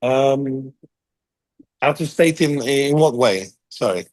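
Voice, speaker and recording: A man being interviewed as a company chief, talking in quick bursts full of fillers and restarts. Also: medium pitch, lively intonation, no room sound. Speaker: CEO of a contact center firm, guest. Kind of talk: business interview, unscripted.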